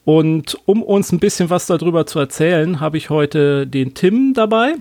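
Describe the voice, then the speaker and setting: A man hosts a relaxed podcast chat in a slightly raised voice. He talks loosely, with frequent fillers and restarts. The sound is dry and close. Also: fairly high pitch, moderate pace, no echo. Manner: chatty, hesitant with many fillers. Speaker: podcast host. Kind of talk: casual podcast conversation.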